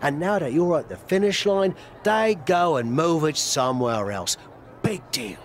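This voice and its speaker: A man's voice: a little high, animated, a little slow, a little hiss or hum. A man playing a veteran wrestler turned mentor in a game cutscene, rambling and self-deprecating yet encouraging. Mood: earnest, rousing. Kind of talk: video game cutscene acting.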